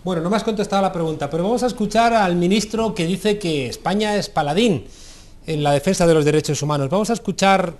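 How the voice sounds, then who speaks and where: A man's voice: high-pitched, fast talker. A man hosting a studio debate, asking pointed questions with an ironic edge. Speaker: host.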